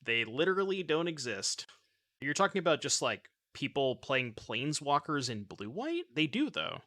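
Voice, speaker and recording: A man's voice: a little high, very animated, moderate pace, bright, forceful tone. A man in his thirties streaming a card game from his home setup, chatting and joking with his chat while he plays, using a desk mic. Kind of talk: casual gameplay commentary reading chat. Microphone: desk mic with webcam.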